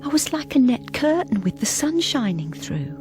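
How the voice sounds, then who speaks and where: An actress playing a troubled middle-aged British wife who speaks hesitantly and confidingly in a radio drama. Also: female voice, high voice, big pitch swings, normal speed, music in the background. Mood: haunted, confiding. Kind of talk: intimate first-person radio drama narration and dialogue.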